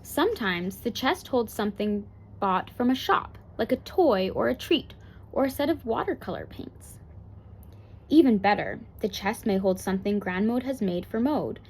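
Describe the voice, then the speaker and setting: A woman's voice: fairly high pitch, a little mellow. A woman narrating a children's picture book aloud as a bedtime story. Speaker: storybook reader.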